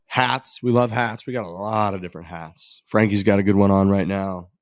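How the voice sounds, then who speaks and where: A man's voice: on the low side, steady tone, normal speed, no room sound. A man hosting a golf podcast, chatting loosely and running through a casual ad read with lots of repeats. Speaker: podcast host.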